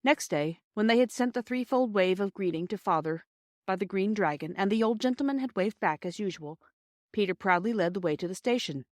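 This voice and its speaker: A woman's voice: mid-range voice, expressive, brisk, no echo, clean studio sound. A woman reading a storybook aloud as scripted narration. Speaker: audiobook reader.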